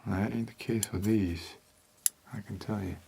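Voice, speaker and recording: A man playing a laid-back, shady homicide detective in an ASMR roleplay, halting, rambling and deadpan. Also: background noise. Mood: deadpan, musing. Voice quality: low, creaky.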